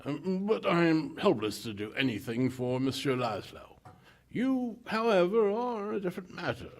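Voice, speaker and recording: A man's voice: fairly high pitch, sing-song, moderate pace. Male actor as courteous underworld boss, scheming, oily, hesitant ums, very varied intonation, vintage radio drama. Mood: smooth, courteous. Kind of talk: 1940s-style radio theater acting.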